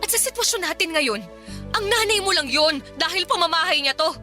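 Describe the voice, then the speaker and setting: A woman's voice: extremely high pitch, expressive, fairly quick, pushed and bright, faint music behind, heavily acted. A woman playing an exasperated, nagging young wife and mother in a Tagalog radio drama, complaining emphatically.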